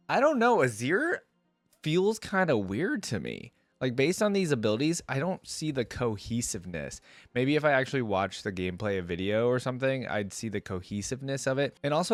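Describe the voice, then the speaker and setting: A man's voice: mid-range voice, dry recording. A man giving casual, off-the-cuff reaction commentary as a host, musing aloud as he goes.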